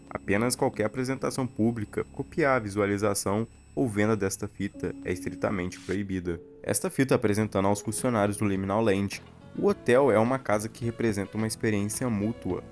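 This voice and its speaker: A man with a fairly low voice and a Brazilian accent narrates a scripted horror explainer. He tells it as a story, with faint music running behind his words.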